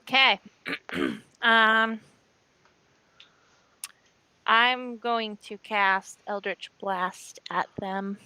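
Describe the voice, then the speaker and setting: A woman's voice: on the high side, fairly even intonation, drawn-out words, long pauses, sharp tone, clear, resonant tone, poor audio. A woman playing in an online tabletop game, making short, tentative requests. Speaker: player. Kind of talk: casual tabletop game talk.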